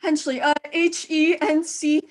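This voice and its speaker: A woman's voice: sits high, level tone, unhurried. A woman playing a panicking, demanding, self-pitying invalid wife in an old-time radio thriller, fretful and stammering. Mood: impatient, flustered.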